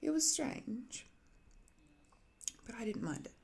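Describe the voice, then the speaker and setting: A woman voicing an ancient cursed living weapon, a soft-spoken, wistful villain who muses hesitantly in an intimate ASMR roleplay, sometimes dipping toward a whisper. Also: fairly high pitch, big pitch swings, slow, creaky, slightly rough, full character voice. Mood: brooding, matter-of-fact.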